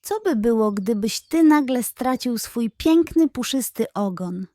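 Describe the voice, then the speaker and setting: A woman's voice: fairly high pitch, lively intonation, unhurried, mellow, no room sound, studio quality. A woman voicing a worried, sad little boy hedgehog, plaintive and earnest, in a children's story. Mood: hurt.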